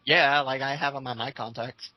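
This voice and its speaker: A man co-hosting a casual reading of online comments, arguing in a halting, indignant way. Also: medium pitch, steady tone, sharp tone. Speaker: co-host reading and reacting to comments.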